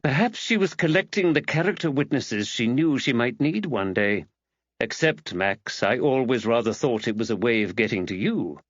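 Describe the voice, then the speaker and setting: A man's voice: mid-range voice, lively intonation, moderate pace, no echo, muffled recording, natural voice. A man voicing a knowing, gossipy village woman in audiobook dialogue, wry and matter-of-fact.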